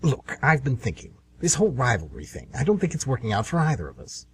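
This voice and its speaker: A man's voice: mid-range voice, wildly swooping pitch, fast. A man playing the Devil as an anxious, aggrieved, scheming businessman in a comedic amateur radio play, sardonic and always bargaining. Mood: persuading, businesslike. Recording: noisy amateur radio recording with hum.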